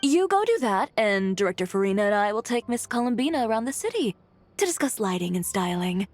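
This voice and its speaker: A poised, organised film-crew woman played by an actress in a game. Her medium-pitched delivery is dry, wry and decisive over soft music. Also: big pitch swings, a little fast, natural voice. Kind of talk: video game voice acting.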